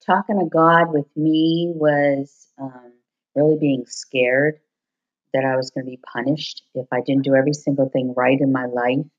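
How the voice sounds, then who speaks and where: A woman who hosts a podcast and writes songs, talking openly and reflectively at home while hoarse from losing her voice. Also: rumbling low, fairly even intonation, normal speed, muffled recording. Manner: open, reflective, confiding. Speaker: podcast host, singer-songwriter. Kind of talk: candid personal monologue. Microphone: computer recording.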